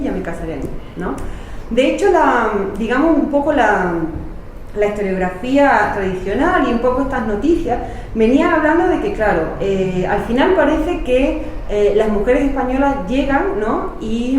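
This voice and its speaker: A woman's voice: fairly high pitch, a little mellow. A woman from Spain delivers an academic lecture in a very echoey room, heard from some distance off the mic. She explains step by step and leans on fillers and rhetorical questions. A faint hiss runs underneath. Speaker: history lecturer. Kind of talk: academic talk.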